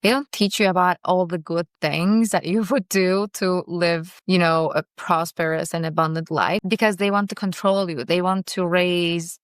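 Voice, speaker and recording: A young woman talks in a smooth, resonant voice that sits slightly low. She gives earnest, optimistic commentary, speaking in short phrases broken by pauses. The recording is close and dry. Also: fairly even intonation, no room sound. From Turkey. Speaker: vlogger reacting to a podcast. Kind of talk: casual video commentary.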